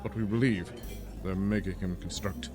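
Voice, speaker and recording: A man's voice: sits low, fairly even intonation, moderate pace, over music, pitched below the performer's own voice, lightly acted. A man playing a serious, resolute resistance commander giving briefings in a space-opera radio play. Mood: grave.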